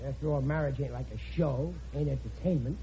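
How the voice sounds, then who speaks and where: A man's voice: medium pitch, a little fast, warm tone, lightly acted. An actor playing a blustery, folksy middle-aged American working-class father in an old radio sitcom. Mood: genial, relieved. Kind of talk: 1950s radio sitcom acting. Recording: muffled old radio recording, faint noise.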